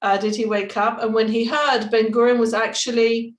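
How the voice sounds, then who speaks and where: A woman gives a historical talk over a video call, halting often with "um"s and repeated words. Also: on the high side, little pitch movement. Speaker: guest speaker. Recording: echoey room on a video call.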